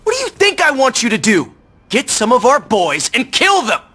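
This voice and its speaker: A man's voice: extremely high pitch, huge pitch swings, moderate pace, bright, forceful tone, husky, exaggerated voice. An actor playing an arrogant, impatient young male crime heir in a video game, condescending and domineering. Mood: angry, impatient.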